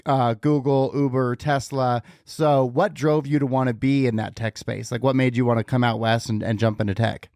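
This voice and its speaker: A man hosts a conversational interview podcast. His voice sits at an average pitch, and the sound is dry with very little room on it. He talks informally, as in a chat with a guest. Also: steady tone, normal speed, few pauses, a little mellow, no room sound.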